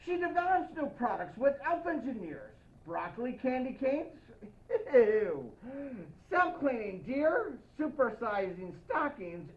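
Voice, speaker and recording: A woman reading a children's picture book aloud as Santa, playful and expressive, speaking to young listeners with asides. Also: on the high side, dull audio. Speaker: storybook reader in the persona of Santa.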